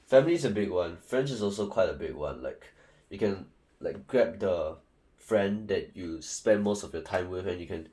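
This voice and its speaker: A man's voice: sits low, expressive, normal speed, mellow. A young man from Singapore with a Singaporean English accent, giving casual, rambling study advice full of fillers and restarts. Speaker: student tutor.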